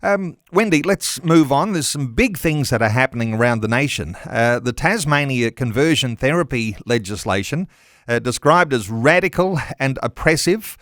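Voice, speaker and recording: Male radio host, mid-pitched, measured and conversational, very dry studio sound. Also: lively intonation, fairly bright tone, no echo. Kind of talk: conversational current affairs interview.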